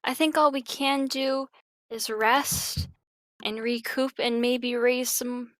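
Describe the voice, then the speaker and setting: A woman's voice: fairly high pitch, steady tone, slow, no echo. A woman improvising in character as a player in a tabletop roleplaying game.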